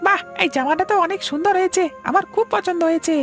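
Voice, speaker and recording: A woman's voice: extremely high pitch, quick pace, faint music behind. A woman voicing an eager little village schoolboy in a cartoon, childlike and pleading. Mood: pleased. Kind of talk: Bengali cartoon story dubbing.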